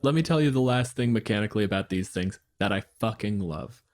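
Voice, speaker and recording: A man co-hosting a casual podcast chat, reading out game rules in a measured, explanatory way. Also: on the low side, animated, darkish tone. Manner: reads stat blocks in a measured, explanatory way. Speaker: podcast co-host.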